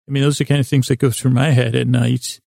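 Very creaky, mellow, rambling man. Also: medium pitch, flat delivery, quick pace, dry recording, clean studio sound. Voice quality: gravelly, creaky. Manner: meandering, stumbling, repeats and breaks off words; silly, whimsical, soothing intent. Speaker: podcast host. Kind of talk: rambling bedtime sleep podcast monologue.

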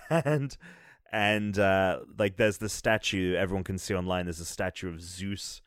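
A man's voice: sits low, fairly even intonation, measured pace, clean tone, no room sound, clean studio sound. A man co-hosting a casual gaming podcast, talking loosely with restarts and fillers. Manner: rambling, many restarts. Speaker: podcast co-host, game reviewer.

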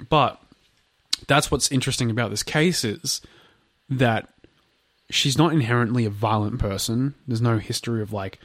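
A man's voice: mid-range voice, moderate pace, pauses often, a bit bright, no room sound. Nasal stuffy-nosed man chatting. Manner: rambling, many fillers and restarts. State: blocked nose, a bit nasal. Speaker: podcast co-host. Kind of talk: casual true-crime chat.

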